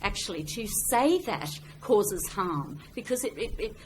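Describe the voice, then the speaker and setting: A woman's voice: mid-range voice, expressive, unhurried. A woman speaking on a public debate panel, arguing in a conversational, rephrasing way into an event microphone. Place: public meeting room. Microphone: event microphone, sometimes off-mic. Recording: noisy live room, uneven microphone level.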